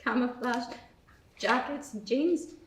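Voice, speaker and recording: An actress reading a frightened young woman on the run at a live table read, halting and shaken, heard from far off in an echoey theatre. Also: on the high side, fairly bright tone. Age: young adult. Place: theatre stage. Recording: echoey hall from far off, faint noise.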